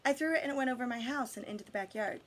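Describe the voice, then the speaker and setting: A woman reading a picture book aloud expressively to children, pausing to guide them with questions. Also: fairly high pitch, fairly bright tone. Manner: pauses to question and guide young listeners. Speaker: read-aloud storyteller for children.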